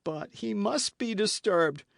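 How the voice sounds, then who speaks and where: A man voicing a fretful, flustered innkeeper in an audiobook, plaintive and excitable. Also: sits high, unhurried, gravelly, no room sound, clean studio sound, voice pushed up, exaggerated voice. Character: fretful, flustered male innkeeper, wailing and complaining.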